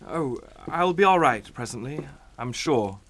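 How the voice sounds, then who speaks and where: An actor playing a polite captain in a suspense drama, speaking in a halting, courteous, uneasy manner. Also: male voice, mid-range voice, animated, fairly bright tone, no echo. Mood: nervous, halting. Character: polite, uneasy English captain, a reluctant guest. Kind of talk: radio suspense drama acting.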